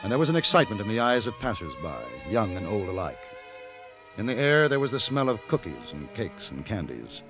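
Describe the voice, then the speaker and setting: Courtly western gunfighter, low-pitched, animated, wry, vintage radio drama, muffled recording. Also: male voice, a little fast, over music. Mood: reflective. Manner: smooth, courtly, theatrical. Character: suave, worldly gunfighter gentleman, courtly and wry. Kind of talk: 1950s radio acting and narration.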